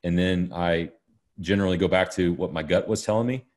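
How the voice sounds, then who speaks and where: A man with a very deep, low voice talking casually as a podcast guest. He hesitates often and keeps saying "you know" while he searches for words. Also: steady tone, fairly quick, a little mellow. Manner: hesitant, many "you know" fillers and restarts. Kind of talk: casual conversation.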